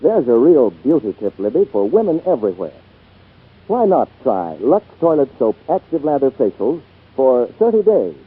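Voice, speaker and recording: A man's voice: mid-range voice, very animated, moderate pace, husky. 1940s male radio announcer, tinny sound. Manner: polished, emphatic sales patter. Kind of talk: scripted 1940s radio advertising.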